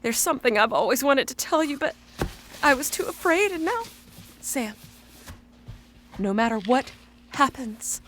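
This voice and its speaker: An actress playing a frightened, melodramatic character making a halting confession in a comic audio drama. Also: female voice, high-pitched, animated, fairly bright tone, a little hiss or hum. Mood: fearful, melodramatic. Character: melodramatic frightened small doomed character confessing to companion Sam.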